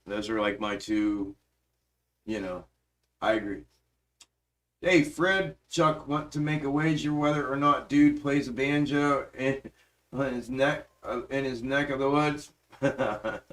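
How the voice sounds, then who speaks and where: A man in mid-range pitch hosting a stock market live stream, talking casually into a desk mic at his computer. He is emphatic and profane, swears freely, and trails off while he works his charts. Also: a bit bright. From grew up in western Pennsylvania, adult life in the South. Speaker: stock market livestream host.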